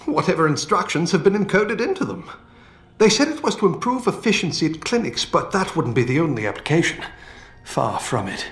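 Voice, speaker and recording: An actor playing an older British scientist in a video game, feisty and fatherly, with colourful, expressive delivery. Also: on the high side, brisk. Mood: grave. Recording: roomy game audio with music.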